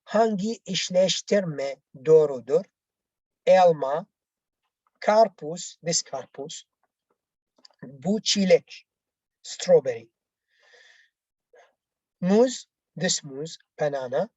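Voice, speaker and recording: A woman language teacher who is not a native English speaker, explaining exercises step by step in a halting, repetitive way. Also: low voice, expressive, warm tone, husky, no echo, highs cut off. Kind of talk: bilingual lesson, reading out exercises and explaining answers.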